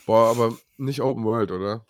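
A man's voice: mid-range voice, brisk, dry recording, clean studio sound. A man co-hosting a gaming podcast, chatty and a little scattered, feeling run-down and laughing often. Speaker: podcast co-host. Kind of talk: casual chat.

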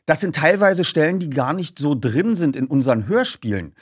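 A man hosting a feature and reading a story aloud as an expressive narrator. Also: on the high side, normal speed, breathy edge, dry recording, clean studio sound. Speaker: host and narrator. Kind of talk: podcast introduction and audiobook reading.

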